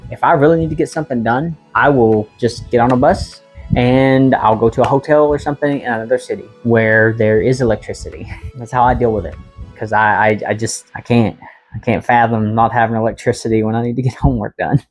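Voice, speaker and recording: Chatty, slightly husky American woman. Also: a bass voice, a little fast, darkish tone, soft background music. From United States. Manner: chatty, laughs often, frank. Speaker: vlogger. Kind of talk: casual vlog monologue.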